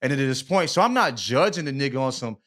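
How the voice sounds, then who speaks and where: A man talks as a podcast co-host in loose, slangy conversation. His voice sits at a middle pitch. He is emphatic and opinionated, circling back over his words and adding little check-in tags. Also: lively intonation, quick pace, a bit bright. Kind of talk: casual opinionated podcast chat.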